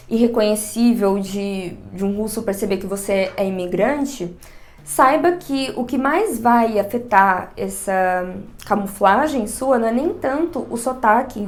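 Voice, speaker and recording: A young woman speaks with a São Paulo accent, her voice sitting somewhat high. She talks frankly and chattily straight to camera, punching her points with emphatic asides. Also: a little mellow. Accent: São Paulo Portuguese. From Brazil, São Paulo. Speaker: vlogger. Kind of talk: casual direct-to-camera vlog.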